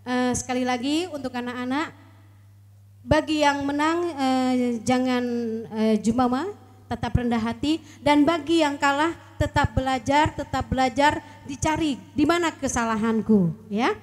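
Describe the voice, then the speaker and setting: A slightly hoarse woman from East Kalimantan, a competition judge speaking hesitantly with many fillers, giving earnest advice on a mic at an outdoor stage event. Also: sits high, normal speed. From Kutai Kartanegara, East Kalimantan. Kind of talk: casual live-event chat on a mic.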